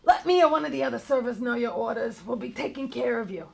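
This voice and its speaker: A woman's voice: fairly high pitch, expressive, fast, vocal fry, darkish tone. A woman playing an earnest, weary bar owner, a man of fifty-seven, in a live comedy table read. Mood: flustered, placating. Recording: live stage, faint room noise.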